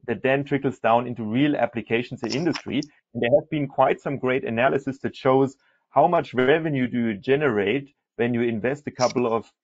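A man's voice: medium pitch, no room sound. A man moderating a technical panel and speaking off the cuff. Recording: dull, lo-fi online call audio.

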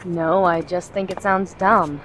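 A woman playing a composed, wry assassin, explaining things in a measured way in an audio drama. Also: on the low side, fairly even intonation, fairly bright tone, slight background noise, low-quality recording. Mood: wry, resolute.

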